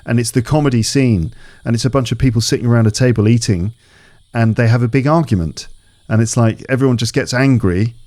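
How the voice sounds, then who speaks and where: A man guest on a podcast who chats casually and thinks out loud, often restarting his sentences. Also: medium pitch, brisk, no echo. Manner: thinking aloud, with many restarts and hedges. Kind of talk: casual conversation.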